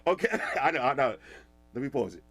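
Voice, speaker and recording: A man giving a casual, animated product review in a loud, talkative voice. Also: medium pitch, a little fast, slightly rough, no echo. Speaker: tech reviewer.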